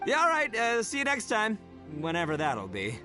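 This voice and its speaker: A man's voice: sits high, wildly swooping pitch, normal speed. A man playing a boastful, laid-back big shot in a video game, casual and full of swagger. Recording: music playing behind the voice.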